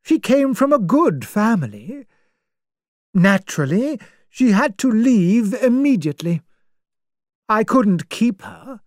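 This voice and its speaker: A woman's voice: mid-range voice, darkish tone, dry recording, studio quality, pitched above the performer's own voice. A woman voicing a stern, self-righteous older spinster who speaks primly and judgmentally. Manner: prim, unbending, judgmental.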